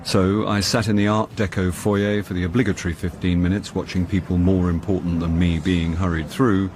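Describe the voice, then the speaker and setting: A man's voice: sits low, little pitch movement, a little fast, noisy background, lightly acted. An actor playing a wry, hapless middle-aged British man in a radio drama, dry and self-mocking. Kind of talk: wry first-person radio drama narration and dialogue.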